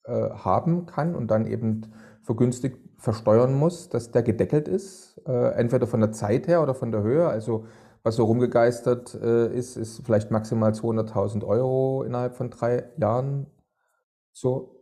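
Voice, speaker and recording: A man's voice: medium pitch, normal speed, dark tone. A man hosting a podcast, putting long, winding questions to his guest with many fillers. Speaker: podcast host.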